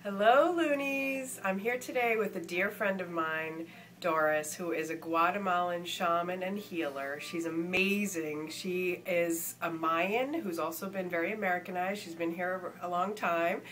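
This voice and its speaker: A woman's voice: on the low side, unhurried, fairly bright tone. A woman hosting a casual homemade interview, speaking in an effusive, affectionate way. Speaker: host interviewer. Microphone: phone passed between speakers.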